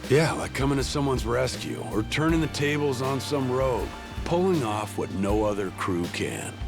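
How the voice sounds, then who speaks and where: Guy acting an easygoing pirate captain in a game, mid pitch but lively, folksy and frank, music behind him. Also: normal speed, close to an everyday voice. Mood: earnest musing. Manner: folksy, conversational. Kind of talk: video game cutscene acting.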